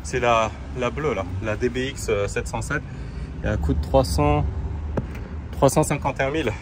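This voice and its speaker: A man's voice: mid-range voice, moderate pace. A man, a coach and nutritionist, vlogging casually to his viewers while riding a kick scooter through city parks and streets, talking straight to camera with plenty of fillers. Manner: chatty, addresses viewers directly, fillers and asides. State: strained from exertion. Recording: noisy outdoor street sound. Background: outdoor city ambience.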